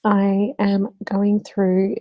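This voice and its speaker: A woman from Australia hosting her budgeting channel, talking casually to camera with a slightly croaky voice. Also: medium pitch, completely flat delivery, very slow, dry recording, muffled recording.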